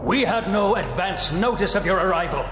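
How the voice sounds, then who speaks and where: An actor playing an officious but nervous city gate guard in a dark fantasy game. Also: male voice, high voice, lively intonation, normal speed. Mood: officious, wary. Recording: echoing reverb with music behind.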